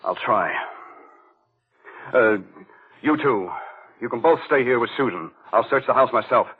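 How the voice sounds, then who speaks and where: An actor playing a steady, earnest man who takes charge in an old-time radio mystery. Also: medium pitch, measured pace, close to an everyday voice. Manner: earnest, firm, take-charge. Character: steady, commanding man in love, leading a murder investigation. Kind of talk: old-time radio mystery acting, also narrating in the first person. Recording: muffled, narrow-band vintage radio recording.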